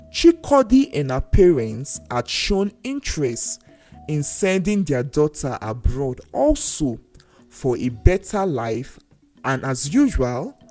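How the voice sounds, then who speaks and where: Slow, expressive storyteller. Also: medium pitch. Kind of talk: scripted folktale narration. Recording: voice over faint music. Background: faint background music.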